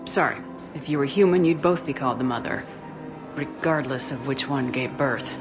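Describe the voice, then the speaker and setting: Low-voiced, steady female soldier. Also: normal speed, music in the background. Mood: firm. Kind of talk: scripted game dialogue.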